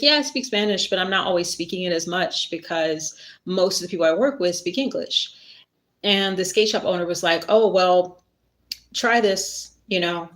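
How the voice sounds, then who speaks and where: Middle-aged lady rambling on a live stream, lowish voice, kinda self-deprecating and chatty. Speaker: author and spiritual worker hosting a live stream. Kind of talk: casual live-stream chat and reading.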